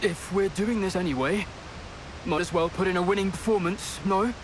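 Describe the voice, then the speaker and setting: A man voicing a calm, earnest young male fighter in a video game, measured and soft-spoken. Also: sits high, background noise, natural voice. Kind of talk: video game cutscene acting.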